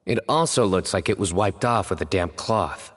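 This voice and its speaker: Game role: stern young male detective, low-pitched, measured, formal, deadpan, reverberant sound. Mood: analytical. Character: serious, composed young male investigator, former student of scholar. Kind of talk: video game voice acting.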